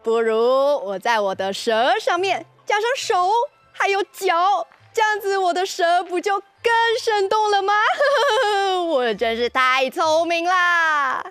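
Woman putting on a cocky, high-voiced storybook braggart. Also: lively intonation, fairly bright tone, no room sound, faint music behind, full character voice. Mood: smug. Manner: smug, drawn-out boasting. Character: third guest, boastful cocky male storybook snake-drawer.

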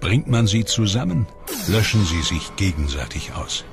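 A man narrating a science documentary in a measured, dramatic scripted delivery. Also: fairly low pitch, very animated. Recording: studio voice-over with music behind. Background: clear background music.

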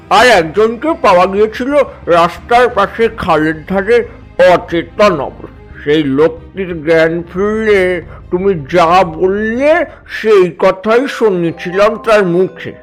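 A man doing a grave old village uncle. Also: high voice. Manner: slow, grave, addresses listener as "baba". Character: elderly village uncle, grave slow storyteller. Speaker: village elder. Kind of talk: animated horror story voice acting. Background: faint background music.

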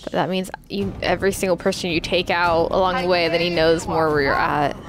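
A woman's voice: lowish voice, little pitch movement, fairly quick. A young adult woman giving casual reaction commentary as a show host. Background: game music.

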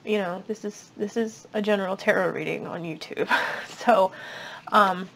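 A young woman giving a casual tarot reading at a table, chatty and reassuring, her voice slightly raspy. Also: mid-range voice, fairly even intonation, normal speed, fairly bright tone, slight background noise, rough recording. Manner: chatty, reassuring, many fillers. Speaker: tarot reader. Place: indoors at a table.

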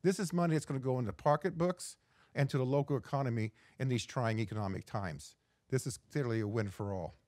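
A middle-aged man giving a prepared keynote as an executive director, measured and reading from slides with occasional restarts. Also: medium pitch, a little mellow, dry recording, studio quality. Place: indoor studio in front of a backdrop.

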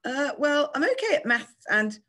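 A woman's voice: mid-range voice, big pitch swings, a little fast, breathy edge, voice pushed up. A woman voicing a shy, anxious young boy in a children's story, hesitant and timid. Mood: uneasy.

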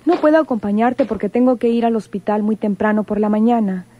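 A woman's voice: mid-range voice, a little fast, muffled recording, slight background noise, lightly acted. An actress with a Mexican accent playing a cautious, stern woman in a radio serial. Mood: cautious, firm.

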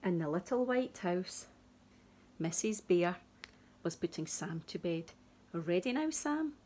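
A woman in her thirties reading a picture book to young children expressively and deliberately, with dramatic pauses. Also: fairly low pitch, dark tone. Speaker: early years teacher reading to children. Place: small room at home, indoors.

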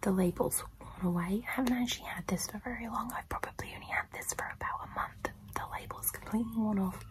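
A young woman whispering softly and gently in a casual, unhurried ASMR style as she presents things close to the mic. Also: medium pitch. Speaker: ASMR video host. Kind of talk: soft-spoken whispered ASMR product show-and-tell.

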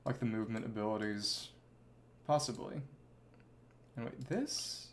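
A man's voice: fairly low pitch, unhurried, a little hiss or hum. A man giving casual live commentary as a video game streamer, rambling and thinking aloud as he plays. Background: video game music.

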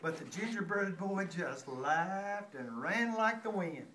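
A man in his sixties reading a storybook aloud to young children in an expressive way, pausing to ask them questions. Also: sits high. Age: 60s. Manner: expressive, repeats refrains, asks children questions. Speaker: guest reader to a class of young children. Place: indoor classroom with young children. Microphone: distant handheld camera. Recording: distant mic, echoey room, noisy with clicks.

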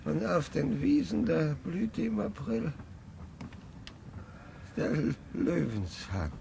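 A man's voice: a little high, big pitch swings, slow, gravelly, warm tone, a little hiss or hum, exaggerated voice. An actor playing a very old grandfather who fondly remembers a lost world, in an early 1950s radio play. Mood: wistful. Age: older. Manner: wistful, earnest storytelling. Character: very old grandfather, nostalgic, defiant dreamer. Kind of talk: 1950s radio drama acting.

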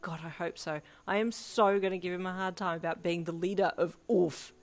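A woman voicing an excitable, teasing young player character with a bubbly manner, in a reader-insert story. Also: medium pitch, normal speed, voice pushed up, natural voice. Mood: excited.

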